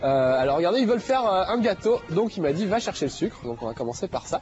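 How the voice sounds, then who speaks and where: A man's voice: sits high, sing-song, fast talker, darkish tone, muffled recording, soft background music. A man hosting a game show, talking casually over gameplay with a slight nasal head cold and lots of fillers. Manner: chatty, jokey, many fillers.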